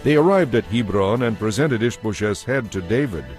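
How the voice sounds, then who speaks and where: A man narrating scripture from a script in a measured, solemn manner. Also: lowish voice, animated. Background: soft music.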